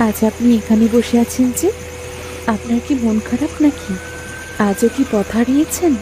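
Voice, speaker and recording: A woman's voice: on the high side, normal speed, close to an everyday voice. A woman playing a mysterious, caring girl in a cartoon story, speaking politely and with concern. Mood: gentle concern. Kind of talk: dramatized Bengali cartoon story dialogue. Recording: music behind the voice.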